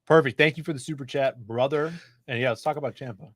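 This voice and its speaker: A man co-hosting a casual comics livestream, chatty and relaxed, reading out viewer messages. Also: mid-range voice, fast, slight background noise. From Chicago area. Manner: laid-back, chatty, reads super chats aloud.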